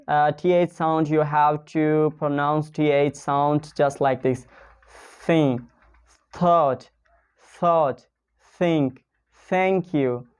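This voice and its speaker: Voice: mid-range voice, warm tone, clear, resonant tone. A teacher with a Pakistani accent giving a casual pronunciation lesson in non-native English, repeating example sentences and checking in with "okay?".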